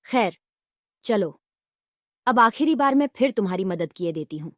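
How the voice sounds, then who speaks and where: A woman voicing a wise, scolding but helpful fox in a storybook fairy tale, with measured, admonishing delivery. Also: mid-range voice, animated, dry recording, clean studio sound, lightly acted. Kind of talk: Hindi fairy tale character voice.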